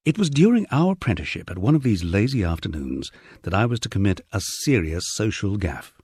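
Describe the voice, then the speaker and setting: Male audiobook narrator, lowish husky voice with an animated, expressive read. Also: no echo, studio quality. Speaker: audiobook reader. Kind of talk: scripted audiobook narration of a memoir.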